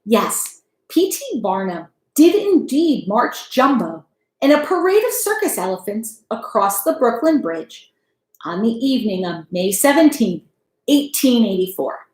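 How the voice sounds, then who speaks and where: A woman's voice: on the high side, unhurried, echoey room, poor audio. A middle-aged woman reading a children's storybook aloud like a school principal, with teacherly asides to her listeners, in a home room. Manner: expressive, teacherly, addresses listeners with questions. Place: small room at home, indoors.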